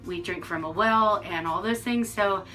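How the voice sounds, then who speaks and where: A woman's voice: medium pitch, normal speed, bright, forceful tone, faint music behind. A woman in her forties giving a casual, frank vlog update to camera from her rural home, with lots of ums and restarts. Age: 40s. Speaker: vlogger.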